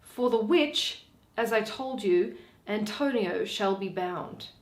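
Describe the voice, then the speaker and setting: Woman playing an earnest gentleman, echoey room. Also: medium pitch, animated, slow pace. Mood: pressing. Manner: earnest, courteous, pressing for an answer. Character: eager young gentleman seeking a loan. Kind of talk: dramatic Shakespeare reading. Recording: roomy echo.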